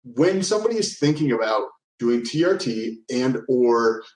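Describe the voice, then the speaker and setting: A man's voice: medium pitch, sing-song, moderate pace. A man co-hosting a podcast, speaking casually and hedging with frequent "like". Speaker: podcast co-host. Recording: echoey room sound.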